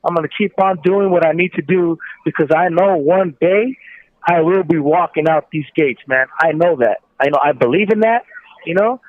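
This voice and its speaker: A man's voice: fairly high pitch, normal speed, no echo. A man calling in over a phone, talking casually and earnestly, with emphatic repeated phrases. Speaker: guest calling from prison. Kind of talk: casual, testimonial talk. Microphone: telephone handset. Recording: tinny prison phone line.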